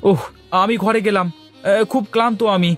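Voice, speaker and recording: A man's voice: high-pitched, steady tone, a little fast, fairly bright tone, faint music behind. A man voicing a boastful, sly village husband in a cartoon, theatrical and exclamatory, repeating his phrases. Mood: sulky, offended. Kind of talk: animated cartoon voice acting in Bengali moral story.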